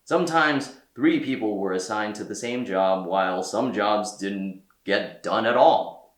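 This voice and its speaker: A man reading a children's story aloud as a storyteller, with theatrical delivery and deliberate pauses. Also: lowish voice, roomy sound. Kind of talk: expressive read-aloud narration for children.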